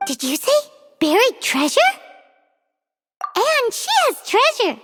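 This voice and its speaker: A woman voicing a tiny, excitable, cheeky fairy companion in a video game, childlike and exclamatory. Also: slow, noisy background, heavily acted. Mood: incredulous, cheeky. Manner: squeaky, childlike, exclamatory, speaks of self in third person. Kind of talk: animated video game character acting.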